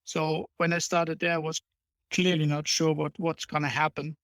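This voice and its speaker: A man's voice: fairly high pitch, level tone, normal speed, a bit bright, no echo. A middle-aged man from Germany with a German accent, a podcast guest explaining his consulting work in an earnest, conversational way. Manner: earnest, explanatory, with small restarts. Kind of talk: conversational interview answers.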